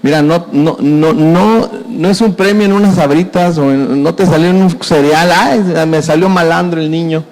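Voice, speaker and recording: A man's voice: a little high, normal speed, few pauses, darkish tone. A middle-aged man from Mexico, preaching a sermon in a conversational style, full of repetitions and folksy sayings. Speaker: pastor. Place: church hall. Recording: reverberant church hall.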